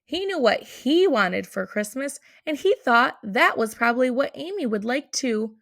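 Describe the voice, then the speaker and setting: A woman's voice: high voice, animated, unhurried, vocal fry, a bit bright. A woman narrating a picture book aloud for children. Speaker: storybook reader.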